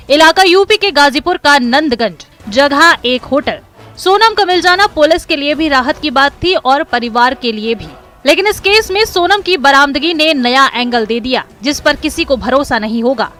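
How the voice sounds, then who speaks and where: A woman's voice: high voice, a bit bright. A woman narrating a scripted crime news report in a dramatic, emphatic manner.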